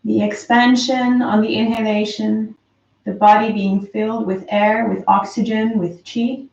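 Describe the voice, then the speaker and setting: A woman slowly and softly guiding a breathing meditation, calm with long pauses. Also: fairly high pitch, gravelly. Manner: slow, soft, calm guidance with long pauses. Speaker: meditation teacher. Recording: roomy, distant, dull lo-fi sound.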